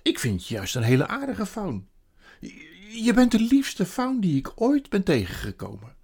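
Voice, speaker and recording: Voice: mid-range voice, wildly swooping pitch, normal speed, darkish tone, breathy edge, pitched above the performer's own voice, full character voice. A storybook reader voicing a kind, anxious, outspoken little girl in an earnest, pleading way.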